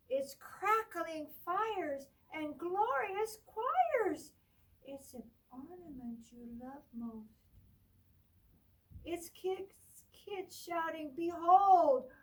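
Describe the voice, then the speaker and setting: High, slow storytime woman, far from mic. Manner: slow, sing-song read-aloud with rising emphasis on rhymes; gently coaxing, talks to young listeners. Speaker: children's librarian storyteller. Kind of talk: reading a picture book aloud to children. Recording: voice distant from the mic.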